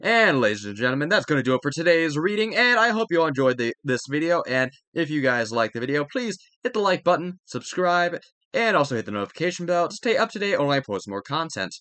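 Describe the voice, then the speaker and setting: A man's voice: a little high, very animated, fast talker, sharp tone, dry recording. A man reading a textbook aloud as a study-video host, stumbling over words now and then and correcting himself.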